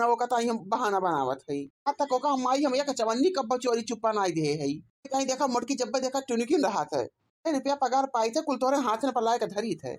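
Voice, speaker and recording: A woman's voice: fairly high pitch, natural voice. A woman with an Awadhi accent voicing a pleading, put-upon grown village son and husband in a cartoon comedy. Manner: complaining, self-justifying.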